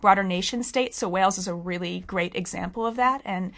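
A woman's voice: medium pitch, fairly quick, a bit bright. A young woman from Missouri, an exchange student giving a fluent, articulate answer in a radio interview. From Missouri, United States. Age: young adult.

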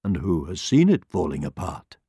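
A kindly, wise lion from a children's tale, acted by a man. He speaks low and quietly, with calm, measured authority. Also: slightly rough, dry recording, clean studio sound, full character voice. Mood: calm, gentle. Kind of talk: children's storybook character voice.

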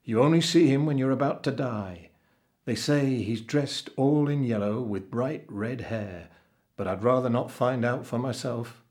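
A man's voice: mid-range voice, a little slow, darkish tone, natural voice. Man playing a kindly, reassuring ship's cook. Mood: cautioning.

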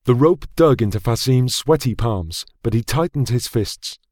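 A man narrating a fantasy audiobook in a measured, dramatic storytelling manner on a close studio mic. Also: medium pitch, animated, a little mellow, no echo.

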